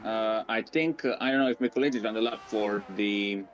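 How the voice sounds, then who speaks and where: A man's voice: fairly low pitch, normal speed. A man giving casual color commentary on a sim race, hesitant with fillers. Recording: muffled, lo-fi mic.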